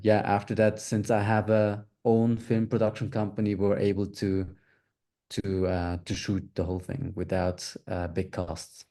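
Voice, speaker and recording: Low, mellow-voiced man from Switzerland. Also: monotone. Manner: measured, plain answers with fillers. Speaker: film producer, guest. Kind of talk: interview answers.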